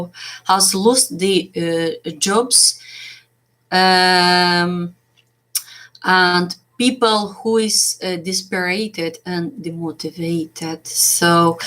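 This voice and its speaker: A woman's voice: lowish voice, a bit bright. A woman in her forties with a Moldovan accent, a business coach talking unscripted to her channel, with many fillers and restarts. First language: Romanian and Russian. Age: 40s. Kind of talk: speaking to camera, unscripted.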